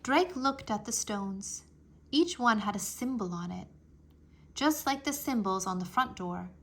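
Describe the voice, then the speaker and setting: A woman narrating a children's adventure book aloud with expressive, dramatic phrasing. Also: mid-range voice, measured pace. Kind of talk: children's storybook read-aloud.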